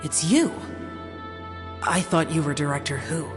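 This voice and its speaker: A man's voice: a little high, a little slow, over music, natural voice. An actor playing an aloof, reserved young male guardian warrior in a video game, speaking tersely. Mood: reserved, dry. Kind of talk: scripted video game dialogue.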